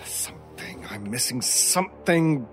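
A man's voice: medium pitch, sing-song, unhurried, faint music behind. A man playing an imperious, obsessive stranger in an old time radio drama, brusque and commanding, sometimes muttering to himself. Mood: brooding, intense.

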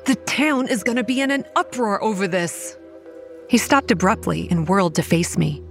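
Casting: woman as anxious yet dry young female lead narrator, horror audio drama, measured storytelling over soft music. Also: mid-range voice, lightly acted. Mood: wary. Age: young adult. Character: Kate, wry, anxious young woman running a campground. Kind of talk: scripted first-person horror narration.

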